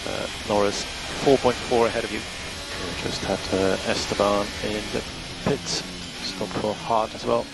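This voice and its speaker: A man with a low, creaky voice speaks as a race engineer over team radio. He is measured and matter-of-fact, repeating key instructions to a driver. Loud vehicle noise runs heavily through the transmission. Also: fairly even intonation. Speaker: Formula One race engineer.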